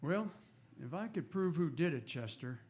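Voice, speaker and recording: An actor playing a stern, laconic frontier marshal in a western radio drama, speaking in terse, folksy, matter-of-fact lines. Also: male voice, a little high, lively intonation, moderate pace, very noisy, close to an everyday voice. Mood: weary, wry.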